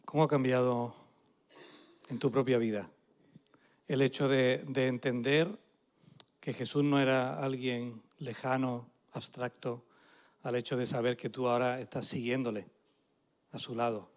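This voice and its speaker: A man interviewing church members during a service, lively and joking as he introduces them. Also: mid-range voice, measured pace, pauses often.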